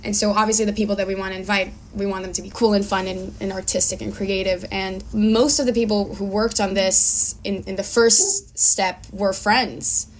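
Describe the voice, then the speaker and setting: A woman's voice: mid-range voice, steady tone, normal speed, hardly any pauses, a little hiss or hum, poor audio. A young woman from Israel talking casually as a filmmaker guest in an interview.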